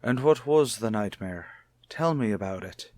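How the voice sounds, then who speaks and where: An actor playing a reasonable, soothing husband in an old radio soap, speaking in a measured, explanatory way. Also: male voice, on the low side, lively intonation, a little mellow, no echo, clean studio sound. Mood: gently persuasive. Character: reasonable, soothing husband confiding in his anxious wife. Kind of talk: mid-century radio soap acting.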